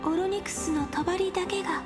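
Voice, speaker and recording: A gentle young heroine of an anime game with a very high, soft, breathy voice. She speaks in measured, wistful phrases like someone telling an old dream, with music clearly playing behind her. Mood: wistful, nostalgic. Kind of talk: Japanese anime game voice acting.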